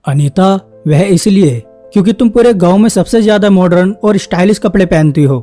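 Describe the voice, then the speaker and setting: An earnest young husband and son from a cartoon family drama, acted out by a woman. The voice is soft-edged and explanatory, with faint music playing underneath. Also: medium pitch, brisk, lightly acted. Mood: explaining. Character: dutiful adult son and husband, young man. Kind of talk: Hindi cartoon story voice acting.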